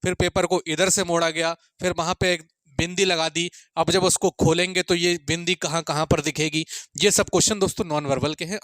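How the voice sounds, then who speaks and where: A man's voice: high voice, a bit bright, no room sound. A man giving an informal exam-prep lecture, repeating his points and often checking in with students in a friendly way.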